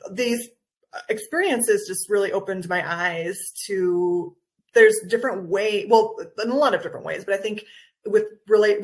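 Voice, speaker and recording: A woman from Guatemala with an American accent, giving conversational interview answers as a wellness coach, enthusiastic and explanatory, often correcting herself. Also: mid-range voice. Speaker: nutritional anthropologist and wellness coach, podcast guest.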